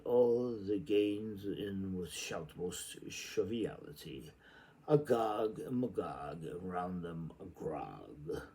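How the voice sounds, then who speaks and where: A man's voice: deep voice, mellow, poor audio. A man doing an informal read-aloud of a literary novel, declamatory when reading and muttering rambling asides to himself.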